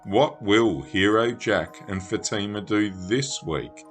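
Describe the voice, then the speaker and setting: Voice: deep voice, slow pace, soft background music. A narrator reading scripted storytelling for a children's radio play.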